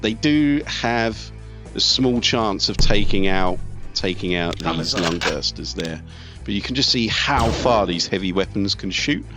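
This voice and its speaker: Man, lowish voice, casual gameplay commentary, thinking out loud, game music and battle sounds behind. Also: animated, measured pace. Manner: thinking aloud, talking through tactics. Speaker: let's play host. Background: video game music, artillery fire and vehicles.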